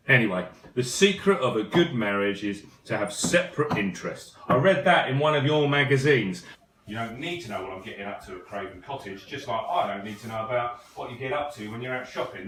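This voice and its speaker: A man plays an easygoing English husband in a domestic drama. He is chatty and wry, with lively intonation at an everyday pitch. The home recording sounds noticeably roomy. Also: fairly quick, a little hiss or hum, close to an everyday voice. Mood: wry, reflective. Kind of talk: amateur stage play acting, recorded at home.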